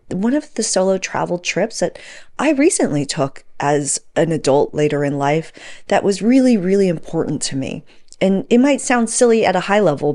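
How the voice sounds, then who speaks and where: A woman around forty with a slightly low voice, speaking as a podcast host. She tells personal stories in an informal, emphatic way, as if chatting straight to her listeners. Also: darkish tone. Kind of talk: conversational solo monologue to audience, storytelling.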